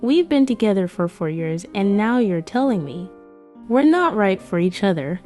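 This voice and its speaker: A woman's voice: medium pitch, big pitch swings, moderate pace, warm tone, natural voice. A woman voicing a heartbroken young office worker who narrates her breakup in a romance comic dub. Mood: hurt, pleading. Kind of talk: scripted first-person comic dub narration. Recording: music behind the voice.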